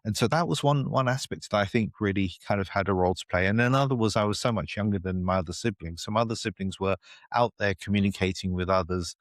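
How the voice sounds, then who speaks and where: A man's voice: on the low side, quick pace, no room sound, studio quality. A man with a British accent, a podcast guest explaining ideas in a reflective, conversational way, often adding "you know" and "right?". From United Kingdom. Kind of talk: conversational interview.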